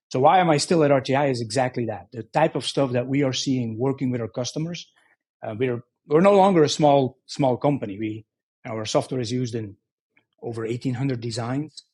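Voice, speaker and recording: A middle-aged man, speaking as an interview guest. His voice is medium-pitched and somewhat husky. He explains things conversationally and haltingly, often repeating words. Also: darkish tone. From Belgium. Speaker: podcast guest, engineering executive.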